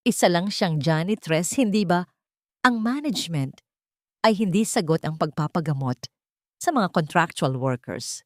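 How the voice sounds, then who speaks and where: A woman's voice: fairly low pitch, animated, unhurried, a little mellow, dry recording, clean studio sound. A woman voicing a cold, uncaring clinic nurse in a storybook drama, hard and dismissive.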